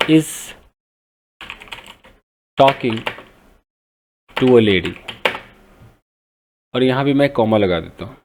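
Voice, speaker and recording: Man, English teacher, grammar lesson, slow and patient, asks questions, animated pitch. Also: background noise. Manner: patient, repeats points, asks the learners questions. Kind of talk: grammar lesson explaining a newspaper.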